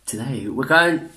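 A young man, chatting in a rambling way for a reaction vlog in his bedroom, restarting sentences, clearing his throat and swearing often. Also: medium pitch, pushed and bright. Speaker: vlogger reacting to videos. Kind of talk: casual reaction vlog chat. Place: small bedroom indoors.

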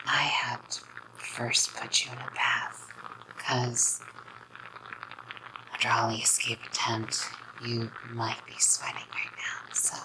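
A young woman whispers an ASMR roleplay as a possessive, coaxing girlfriend with sweet menace. Her voice is extremely low and crackles with fry, breathy and close to the microphone. Heavy background noise runs under it. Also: sing-song, slow, long pauses. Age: young adult. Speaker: ASMR creator. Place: small dim indoor room. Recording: loud background noise with handling clicks.

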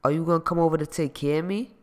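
A man reading an audiobook, voicing a jealous, possessive ex-boyfriend who accuses and shouts. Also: a little high, fast talker, close to an everyday voice. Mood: sulky. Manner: loud, accusing, streetwise.

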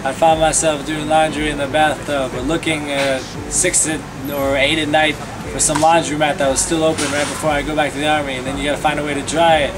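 A man's voice: a little high, little pitch movement, a little fast, background noise. A young soldier gives an interview outdoors, telling his story in a rambling way and restarting phrases. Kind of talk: interview testimony.

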